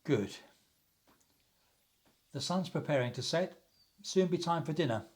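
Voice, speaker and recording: A man playing a loyal, practical young gentleman in a radio play, with an earnest, deliberate delivery. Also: a little high, animated. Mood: friendly. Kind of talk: theatrical radio play acting.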